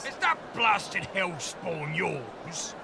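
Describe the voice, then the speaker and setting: A gruff Victorian London fishmonger, with a creaky, swooping voice. He is brusque and exasperated, drawing his words out over noisy street sound in an audio drama. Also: high-pitched, sing-song, drawn-out words, heavily acted. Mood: outraged.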